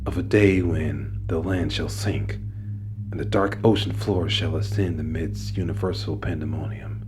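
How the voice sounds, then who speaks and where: A man giving a dramatic, halting first-person horror narration as a haunted, tormented war survivor. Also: sits low, steady tone. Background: music.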